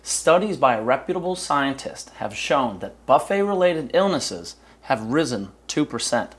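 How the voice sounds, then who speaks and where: A male anchor in his thirties reads parody news in a dry, deadpan newsreader manner. His pitch swings widely as he leans into each punchline. He is recorded close on a lapel mic in a small studio. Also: moderate pace. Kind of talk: mock news broadcast.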